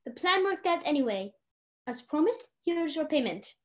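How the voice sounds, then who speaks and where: High, animated radio-play villainess played by a young woman, a polished society lady who takes charge and schemes. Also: moderate pace. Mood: stern, conspiring. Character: Lady Eleanor, commanding, scheming society lady hiding her crimes.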